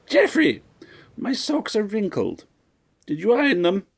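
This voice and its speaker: A man voicing a shrill, fussy, wealthy old woman who nags imperiously in a grating voice. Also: huge pitch swings, measured pace, creaky, full character voice. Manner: imperious, nagging.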